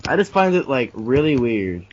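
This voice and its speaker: A man with a mid-pitched voice riffs casually as a co-host. His interjections are crude and sarcastic. The sound is dull and low in fidelity. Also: sing-song, normal speed, a little hiss or hum. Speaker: co-host commentator. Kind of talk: casual joking commentary. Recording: dull lo-fi recording.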